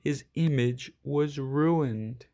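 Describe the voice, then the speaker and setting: Male narrator, medium pitch with noticeable fry, expressive read-aloud of a picture book for children. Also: drawn-out words. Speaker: storybook reader.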